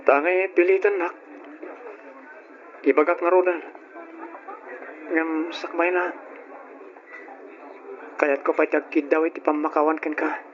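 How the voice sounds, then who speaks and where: An actor with an Ilocano Filipino accent playing a hesitant, evasive young boyfriend in a radio drama. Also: male voice, a little high, fairly even intonation, measured pace, pauses often, lightly acted. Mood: regretful, hesitant. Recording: muffled, noisy old radio drama.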